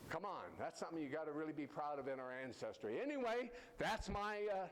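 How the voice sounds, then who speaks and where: A man's voice: fairly high pitch, expressive, brisk. A man speaking as a live storyteller, folksy and emphatic, often correcting himself. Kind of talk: live storytelling with audience quiz. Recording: noisy live event recording.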